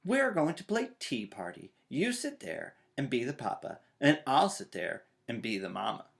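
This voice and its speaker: Man playing a bossy, excitable little girl bear. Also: medium pitch, normal speed, creaky. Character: bossy, excitable six-year-old girl bear cub.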